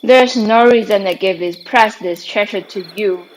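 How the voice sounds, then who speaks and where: A woman's voice: mid-range voice, normal speed, a little hiss or hum, natural voice, rough recording. Radio drama role: woman as a proud, scornful fairy queen, mid voice, faint forest night ambience. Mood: scornful defiance. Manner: defiant, contemptuous. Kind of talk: amateur radio drama acting.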